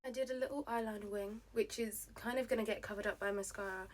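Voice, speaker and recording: A young woman chatting casually through her get-ready-with-me routine, rambling with lots of fillers, her voice slightly hoarse. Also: mid-range voice, steady tone, fast talker. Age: young adult. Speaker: vlogger.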